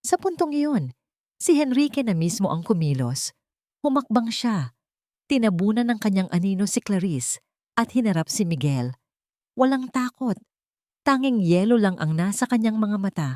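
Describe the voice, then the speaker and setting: A woman's voice: medium pitch, sing-song, normal speed, pauses often, a little mellow, dry recording, studio quality. A woman with a Filipino accent narrating a dramatic scripted story. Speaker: audiobook narrator.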